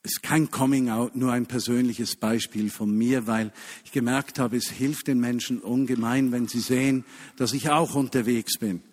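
A man preaching a sermon to a congregation, emphatic, stretching key phrases and dropping to a hush. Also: medium pitch, steady tone. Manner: emphatic, with slow drawn-out key phrases and hushed drops. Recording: long hall reverb.

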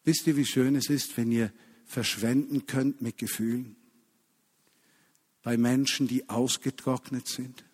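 A man preaching a sermon to a congregation, emphatic, stretching key phrases and dropping to a hush. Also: mid-range voice, steady tone, slightly rough. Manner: emphatic, with slow drawn-out key phrases and hushed drops. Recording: long hall reverb.

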